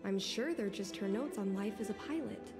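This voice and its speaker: A woman's voice: medium pitch, expressive, normal speed, lightly acted. An actress playing an eager, pleading young girl in a video game who is curious about secrets. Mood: dismissive. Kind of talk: game voice acting. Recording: game music behind.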